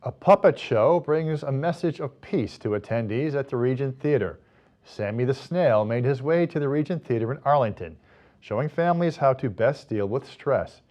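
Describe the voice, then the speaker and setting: A man's voice: medium pitch, animated, normal speed, a little mellow, lo-fi sound. A man reading scripted local news as an anchor.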